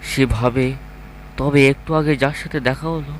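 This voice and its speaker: A man narrates a scripted horror story in a medium-pitched voice. There is a faint hum of noise behind him as he tells the tale. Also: fairly even intonation, a little slow, rough recording.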